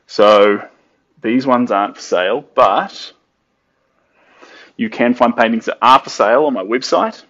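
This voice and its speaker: A young man, yarning to viewers while he paints. He talks slowly and chattily at a middling pitch. The sound is dull and a little lo-fi. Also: fairly bright tone. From New Zealand. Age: late 20s. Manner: chatty and upbeat, sings snatches of songs and talks to viewers. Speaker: artist streaming while painting. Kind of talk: casual live-stream chat while painting.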